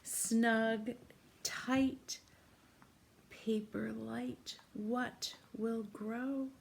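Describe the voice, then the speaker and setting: A woman doing an interactive read-aloud for young children, gently asking questions and pausing for answers. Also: fairly high pitch, steady tone, vocal fry, slight background noise. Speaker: teacher reading a picture book to young children. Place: small room at home, indoors.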